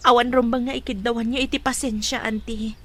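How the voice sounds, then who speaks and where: A woman's voice: fairly high pitch, level tone, brisk, natural voice. A woman acting a heartbroken, respectful young single mother in an Ilocano radio drama, with an Ilocano accent and earnest, emotional delivery. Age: young adult. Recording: faint noise behind the voice.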